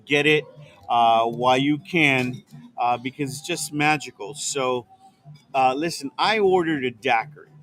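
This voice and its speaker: A man's voice: medium pitch, a little slow, fairly bright tone. A man hosting a podcast, chatting casually and enthusiastically, with many fillers, recorded outdoors in a back alley at night. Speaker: podcast host. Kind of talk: casual chat.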